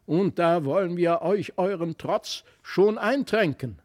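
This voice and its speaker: A man voicing vengeful little toy men with creaky, croaking voices, sneering and menacing in a fairy-tale reading. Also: high-pitched, animated, slow pace, darkish tone, pitched above the performer's own voice.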